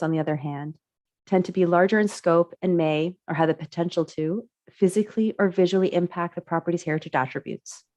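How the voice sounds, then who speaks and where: Woman presenter, raspy from a cold, lowish voice, dry close mic, reads prepared remarks. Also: darkish tone, no echo. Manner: measured, reads prepared remarks, clears throat. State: getting over a cold, raspy. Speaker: municipal heritage staff presenter. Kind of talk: presenting slides at a council committee meeting.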